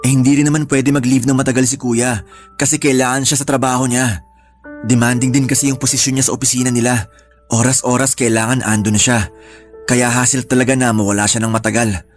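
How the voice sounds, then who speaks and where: An actor playing a worried, devoted young son in a radio drama, with an earnest, troubled way of talking. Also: male voice, mid-range voice, level tone, a little fast, faint music behind, natural voice. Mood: resigned, explaining.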